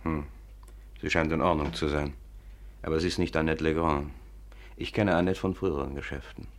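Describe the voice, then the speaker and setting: Gravelly, deep-voiced crime boss. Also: male voice, steady tone, normal speed. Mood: cool, businesslike. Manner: curt, unruffled, authoritative. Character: cool, commanding male criminal boss. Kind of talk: 1950s German radio play acting.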